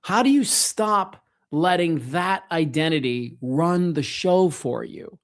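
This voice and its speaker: A man's voice: fairly high pitch, expressive, slow pace. A middle-aged man hosting a solo motivational podcast, speaking earnestly and emphatically, straight to the listener. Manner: earnest, emphatic, addresses listener directly with rhetorical questions. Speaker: podcast host and self-help coach.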